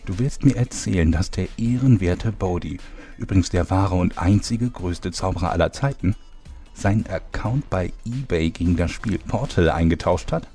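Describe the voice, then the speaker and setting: A very low, commanding male voice acted by a man plays a pompous drill-sergeant coach. He scolds with open contempt in a parody radio play, over light music. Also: moderate pace, mellow. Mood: stern, commanding.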